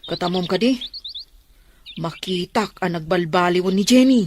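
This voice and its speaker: A woman's voice: on the low side, moderate pace, noisy background, lightly acted. A woman playing a frank, concerned friend in an audio drama, chatty and reproachful. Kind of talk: Ilocano audio drama dialogue.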